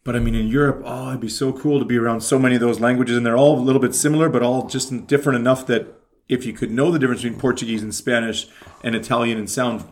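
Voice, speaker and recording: Animated Canadian man chatting. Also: medium pitch, fast. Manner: animated storyteller, emphatic. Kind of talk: casual chat.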